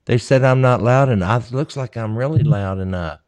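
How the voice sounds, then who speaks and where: A man's voice: mid-range voice, fairly quick, darkish tone. Folksy man reading comments. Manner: folksy, teasing, reads comments aloud. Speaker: co-host reading viewer comments. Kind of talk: casual live-stream chat.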